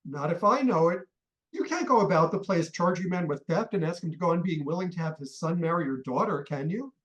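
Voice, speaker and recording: A man acting an irascible middle-aged father and collector in a comic radio play. His delivery swings widely in pitch as he blusters and rants with indignation. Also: expressive, fairly quick, fairly bright tone, dull audio. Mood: indignant, sarcastic.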